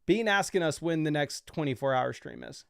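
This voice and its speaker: Young guy streamer rambling about his picks, kinda high voice, lots of likes and ums. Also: expressive, normal speed, creaky, clean tone, dry recording, studio quality. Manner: chatty, loose, filler-heavy explaining. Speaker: fantasy football streamer. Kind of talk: casual livestream chat.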